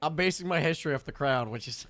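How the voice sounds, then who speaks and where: A man's voice: on the high side, expressive, fairly quick, a bit bright, no echo. A young man from North Wales chatting casually to his stream while playing a roleplay game, rambling with little self-corrections.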